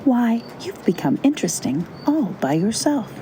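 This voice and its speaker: A woman voicing a chatty, kindly storybook crow, with squawking caws and gentle, reassuring talk. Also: on the high side, big pitch swings, normal speed, noisy background, pitched above the performer's own voice. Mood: kindly, encouraging.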